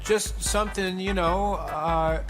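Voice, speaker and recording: An over-eager nerdy high school boy talks in a high voice. He rambles awkwardly in visual novel game dialogue, with clear music underneath. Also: steady tone, takes it slowly.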